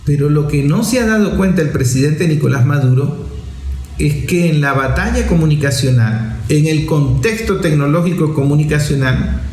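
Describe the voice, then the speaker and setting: A Venezuelan man with a high-pitched voice presents opinion commentary in a roomy, echoing space. He speaks emphatically, building points through lists and rhetorical questions. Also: normal speed, a little mellow. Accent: Venezuelan Spanish. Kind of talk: opinion commentary on media and politics.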